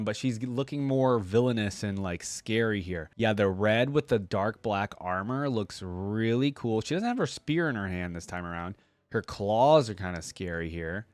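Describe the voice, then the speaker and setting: A man's voice: on the low side, dry recording. A man hosting a reaction channel, commenting casually and thinking aloud in an informal way, sometimes reading out text.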